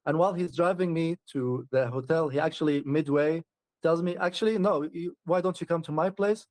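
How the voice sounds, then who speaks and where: A man's voice: on the high side, fairly quick, darkish tone, no echo. A man chatting casually as an interview guest, often laughing and restarting his sentences. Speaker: traveler guest.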